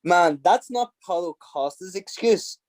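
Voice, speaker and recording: A man's voice: high voice, expressive, a little mellow, no echo. A man with an Irish accent, a podcast guest talking bluntly and profanely in a casual interview. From Ireland. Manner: blunt, profane and fiery, quick to laugh.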